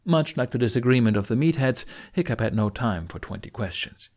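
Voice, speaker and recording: A man's voice: medium pitch, animated, brisk, darkish tone. A man reading scripted, dramatic fantasy narration as an audiobook narrator.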